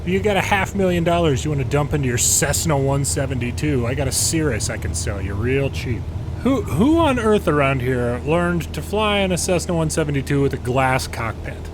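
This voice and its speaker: A man's voice: fairly high pitch, normal speed. An American man giving casual, sardonic game commentary with exasperated asides. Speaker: gaming video host, pilot and former air traffic controller. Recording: steady background hum and noise.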